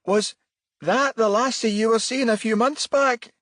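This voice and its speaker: A man's voice: very high-pitched, a little slow, fairly bright tone, no room sound, clean studio sound, voice pushed up. A man voicing an anxious, stern mother in her early fifties for an audiobook, fussing over her son. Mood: flustered. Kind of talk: audiobook character dialogue.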